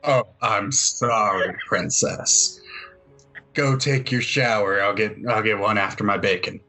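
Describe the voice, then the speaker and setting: A dry, sarcastic slob of a guy played by a man in a tabletop game. He needles his housemate in a lowish, unhurried voice, with music behind. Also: steady tone, bright, forceful tone.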